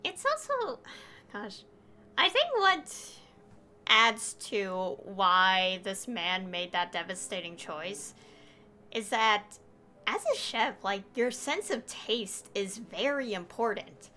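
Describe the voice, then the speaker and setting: A woman's voice: medium pitch, lively intonation, slow pace, pushed and bright, a little hiss or hum. A woman game streamer giving casual live reactions, hesitant and searching for her words. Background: music.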